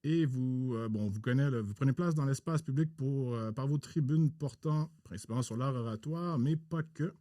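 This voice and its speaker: A man hosting a podcast interview in a formal, halting way with many pauses. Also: medium pitch, mellow, dry recording, clean studio sound.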